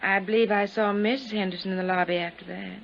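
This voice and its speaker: An actress playing a timid, deferential hotel chambermaid giving hesitant testimony in a 1950s radio drama. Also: female voice, medium pitch, steady tone, fast, gravelly, close to an everyday voice. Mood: timid, careful. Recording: dull old 1950s radio recording, faint noise.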